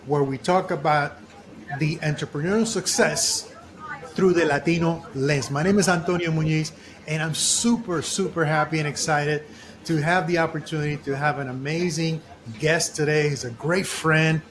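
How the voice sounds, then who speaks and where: A man hosting an interview podcast, talking in a deliberate way and repeating phrases for emphasis. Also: on the high side, fairly even intonation, a crowd in the background. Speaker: podcast host.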